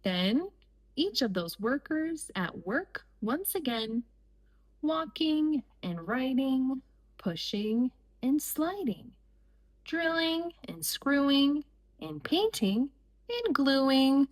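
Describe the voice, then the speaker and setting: A woman reading a picture book aloud for young children in deliberate, measured phrases with pauses between short lines. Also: sits high, sing-song, poor audio. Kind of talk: slow read-aloud storytime for young children.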